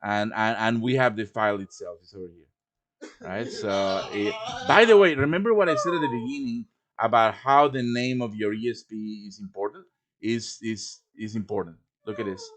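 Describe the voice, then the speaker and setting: A man's voice: fairly low pitch, moderate pace, a bit bright. A man giving an informal live tutorial, thinking aloud as he works through each step. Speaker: tutorial host. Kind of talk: live software tutorial, casual and improvised. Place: live stream over voice chat.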